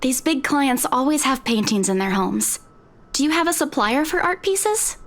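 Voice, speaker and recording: A woman's voice: high-pitched, expressive, normal speed. A young woman voicing an eager, slightly insecure estate agent in a game, with a defensive, flustered way of talking. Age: young adult. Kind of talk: video game voice acting.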